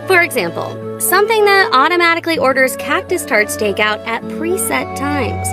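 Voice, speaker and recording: An American actress voicing a playful, nonchalant young female gun-tech tinkerer in a video game, with a light, girlish, teasing delivery. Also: high-pitched. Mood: breezy. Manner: teasing, breezy, casual. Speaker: voice actor for a video game character. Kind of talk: scripted game character voice lines. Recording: studio voice over background game music. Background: background music.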